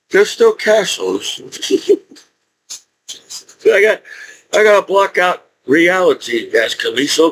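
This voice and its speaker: A man in his forties speaking in a rambling, halting monologue with frequent restarts and laughs. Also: fairly high pitch, fairly bright tone, a little hiss or hum, poor audio. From United States. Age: 40s. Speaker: YouTuber.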